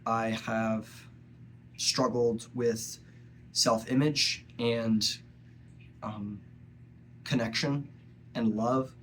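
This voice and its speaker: A young man speaks slowly and earnestly, stopping often to find his words. His nose is blocked from a cold, and his pitch stays flat and steady. A faint hiss sits under the recording. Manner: earnest, halting, with frequent pauses. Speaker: vlogger. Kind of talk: personal reflective monologue.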